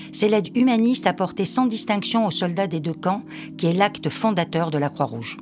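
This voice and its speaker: A woman hosting a podcast, reading a scripted introduction in a measured, earnest way. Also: on the low side. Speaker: podcast host. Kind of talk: scripted narration. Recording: music bed under the voice.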